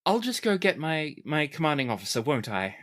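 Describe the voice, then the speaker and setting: A woman's voice: low voice, big pitch swings, fairly quick, voice pushed up. A woman voicing a nervous, apologetic military clerk in a tabletop game, hesitant and formal. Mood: cowed, flustered.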